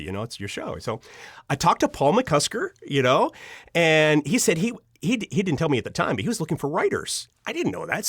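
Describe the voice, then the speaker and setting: A man's voice: a little high, fairly bright tone, dry recording, clean studio sound. A middle-aged American man telling personal stories conversationally as an interview guest, acting out bits of remembered dialogue. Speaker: podcast guest, audio drama writer. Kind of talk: animated personal storytelling in an interview.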